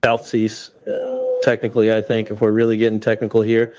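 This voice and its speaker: A synthetic male voice playing a defense attorney, questioning a witness in a measured, methodical way. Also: lowish voice, little pitch movement, a little mellow, no room sound, natural voice. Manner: measured, methodical cross-examination. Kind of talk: synthetic courtroom reenactment.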